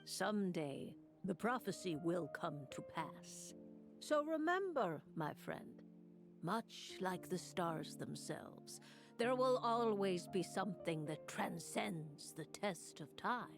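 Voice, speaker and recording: A woman's voice: fairly low pitch, animated, gravelly, music in the background, heavily acted. A woman voicing a wise, serene speaker of prophecy. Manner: slow, serene and grave.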